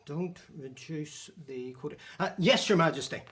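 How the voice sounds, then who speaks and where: An actor playing a meek, flattering royal scribe in a radio drama, hesitant and obsequious. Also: male voice, fairly high pitch, moderate pace, close to an everyday voice. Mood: meek, deferential.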